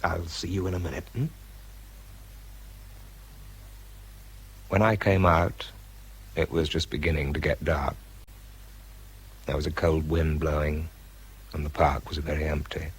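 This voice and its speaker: A man's voice: extremely low pitch, fairly even intonation, normal speed, long pauses, slight background noise, poor audio. An actor playing an exhausted, self-doubting British bomb disposal man in a radio drama, introspective and understated in narration and dialogue. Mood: subdued.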